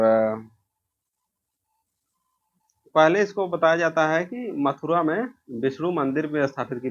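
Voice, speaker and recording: A man's voice: medium pitch, muffled recording. A man from India teaches an informal exam revision lesson, posing questions, answering them himself and repeating key answers.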